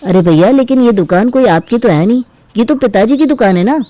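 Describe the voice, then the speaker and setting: A woman's voice: on the high side, expressive, a little fast, warm tone, voice pushed up, natural voice. A woman voicing a self-interested younger brother who argues and complains in a cartoon family story. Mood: demanding. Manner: argumentative, complaining. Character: self-interested younger brother demanding his inheritance share. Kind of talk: acted dialogue in a Hindi cartoon family story.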